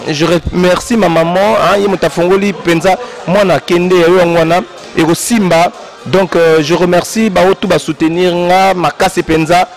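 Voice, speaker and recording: Congolese-accented male guest artist, high gravelly voice, rambling, soft music under. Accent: Congolese French. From Congo-Brazzaville. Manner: rambling, repetitive, shout-outs. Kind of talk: interview in Lingala and French.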